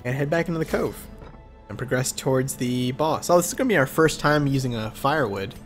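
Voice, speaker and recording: A man's voice: mid-range voice, brisk, faint music behind. A man with a cold and a congested voice, hosting casual let's play commentary and thinking aloud as he plays. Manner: relaxed, thinking aloud with fillers.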